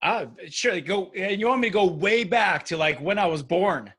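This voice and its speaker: A man's voice: high voice, expressive, moderate pace, pushed and bright, breathy edge. A man in his forties from Brazil and the United States, speaking casually and animatedly as an interview guest, repeating words for emphasis. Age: 40s.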